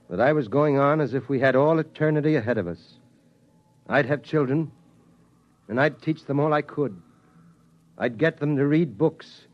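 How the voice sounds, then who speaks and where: An actor playing a steady, reflective father talking to his son in a 1950s radio drama. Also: mid-range voice, lightly acted. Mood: resolute. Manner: steady, reflective, rising to fervent resolve. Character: resolute father of a surviving family. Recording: dull old radio broadcast.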